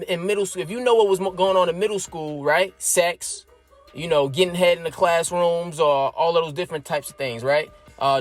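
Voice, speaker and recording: A thirty-year-old man giving his opinion in a casual, emphatic monologue, explaining step by step and checking in with his listeners. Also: high-pitched. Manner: emphatic, explains step by step, keeps asking "right?". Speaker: vlogger.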